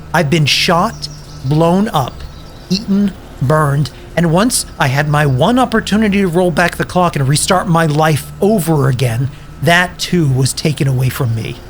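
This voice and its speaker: An actor playing a bitter, self-pitying wasteland survivor in an audio drama, sarcastic, profane and defensive. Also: male voice, fairly high pitch, a little hiss or hum, lightly acted.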